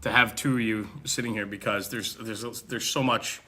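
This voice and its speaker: A man's voice: lowish voice, fairly even intonation, moderate pace, pushed and bright, a little hiss or hum. A man hosting a podcast, chatting casually with his guests and explaining details for the listeners. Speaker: podcast host.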